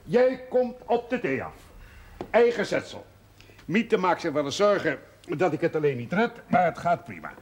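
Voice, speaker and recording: A man's voice: fairly high pitch, moderate pace, breathy edge. An actor playing a genial, opinionated older man, chatty and hospitable, in a naturalistic television drama. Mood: welcoming. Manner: animated, chatty. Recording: noisy 1970s television soundtrack.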